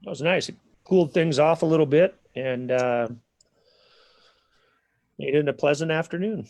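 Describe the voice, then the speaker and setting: A man with a Minnesota accent, an academic dean explaining a degree program in an online info session, measured and full of small pauses. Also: fairly high pitch, darkish tone, no room sound. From southern Minnesota.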